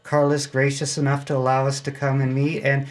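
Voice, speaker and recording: A man's voice: medium pitch, completely flat delivery, brisk. An American man talking as a club guest in an interview, casually explaining things in a plain-spoken, anecdotal way. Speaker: amateur radio club member, interview guest.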